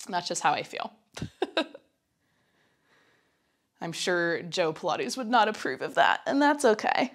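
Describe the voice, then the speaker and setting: A woman's voice: fairly low pitch, very animated, a little slow, long pauses. Nasal woman coaching a pilates workout. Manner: chatty, encouraging, counting down while exercising. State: getting over a cold, slightly breathless from exercise. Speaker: pilates instructor. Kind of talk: guided workout instruction. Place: small home studio, indoors.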